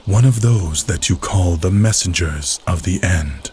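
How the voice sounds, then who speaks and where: An actor voicing a weary, stern, powerful immortal man in a video game, speaking gravely and with measured delivery. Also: sits low, a little mellow, full character voice. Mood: grave, dry. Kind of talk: dramatic video game dialogue.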